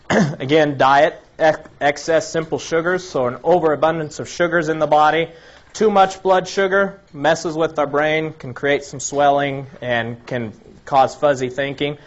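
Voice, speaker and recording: A man giving an informal health lecture to a live audience. His voice is slightly raspy from a cold, and he explains things step by step, listing items one by one and often restarting his sentences. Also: medium pitch, muffled recording. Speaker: health presenter.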